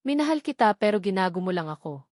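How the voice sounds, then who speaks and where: A woman's voice: mid-range voice, very animated, fast, a little mellow, dry recording, close to an everyday voice. Woman playing furious jilted man, Filipino accent, harsh, accusing, domineering, audiobook drama, dry recording. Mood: menacing, bitter. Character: furious, cruel, betrayed man, domineering former groom.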